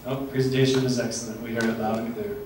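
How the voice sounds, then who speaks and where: A man moderating a workshop question session, thanking the speaker in a relaxed, courteous way. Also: medium pitch, fast talker, a little hiss or hum. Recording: echoey hall, distant mic.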